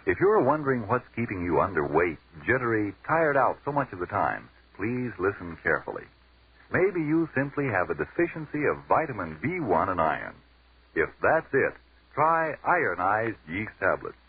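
A man announcing in solemn, measured 1940s radio style. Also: on the low side, very animated, slightly rough. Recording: tinny 1940s radio broadcast with hiss.

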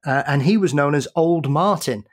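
A man's voice: fairly high pitch, moderate pace. Man telling history stories, laughing. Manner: laughs often, tells history in detail. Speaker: podcast guest. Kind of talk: casual podcast conversation.

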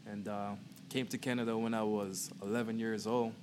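A man's voice: on the low side, normal speed, background noise. Guyanese-accented man telling stories. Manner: relaxed, with "you know" fillers. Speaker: podcast co-host. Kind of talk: casual storytelling.

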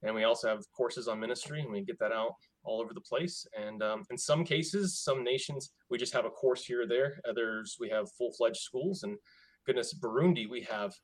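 A man's voice: mid-range voice, moderate pace. A man in ministry talking casually about his missions work over a video call. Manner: relaxed, chatty, loose and informal. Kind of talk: casual explanation.

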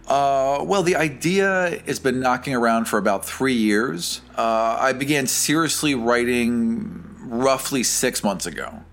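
A man's voice: fairly low pitch, a bit bright. A young man who runs a tabletop game, answering interview questions in a casual, rambling way with many fillers. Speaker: dungeon master and game creator.

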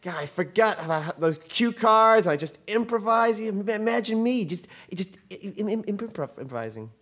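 An actor playing a meek, nervous middle-aged husband, a washed-up talk show host who stammers through a comic audio drama. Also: male voice, high-pitched, moderate pace, sharp tone. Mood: wistful, timid.

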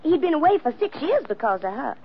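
An actress playing a sweet, fretful young Southern belle with an American Southern accent in a 1940s radio drama. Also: female voice, high voice, sing-song, fast, a crowd in the background. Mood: sweetly fretful. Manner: gushing, coy, effusive. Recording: dull, noisy old radio recording.

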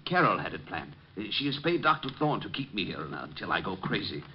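Fast-talking, frightened captive. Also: male voice, sits low, big pitch swings, husky, heavily acted. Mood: anxious. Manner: hesitant, stammering. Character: frightened, wronged male captive, pleading and anxious. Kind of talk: 1950s radio detective drama acting. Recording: dull, noisy old radio recording.

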